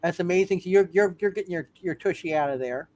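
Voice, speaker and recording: A woman running an improvised tabletop roleplay as game master, halting and often repeating phrases as she narrates. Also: on the low side, darkish tone, a little hiss or hum.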